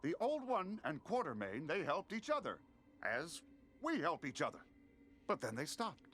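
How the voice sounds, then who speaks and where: An actor voicing a naive, philosophical newly-sentient video game being with quaint, formal, wondering phrasing. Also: male voice, on the high side, huge pitch swings, normal speed, fairly bright tone, faint music behind. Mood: puzzled, musing. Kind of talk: video game character acting.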